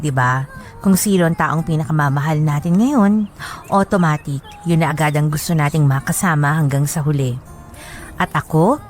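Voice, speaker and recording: A woman's voice: low-pitched, darkish tone, natural voice. An actress with a Filipino accent narrating as a reflective bride recounting her love story in a heartfelt way for a radio drama.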